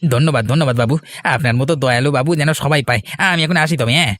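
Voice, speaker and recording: A man's voice: mid-range voice, fast talker, a bit bright, no echo, natural voice. A man voicing a greedy, scheming servant in a cartoon, sly and wheedling. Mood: fawning. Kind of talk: cartoon voice acting.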